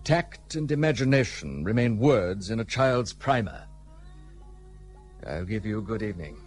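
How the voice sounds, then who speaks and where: A proud, guarded gentleman of British India in a radio drama. His slightly low voice moves expressively, with formal, brusque phrasing and a bitter edge. Music sits faintly behind him. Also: big pitch swings, measured pace. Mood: curt, disapproving. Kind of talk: period radio play acting.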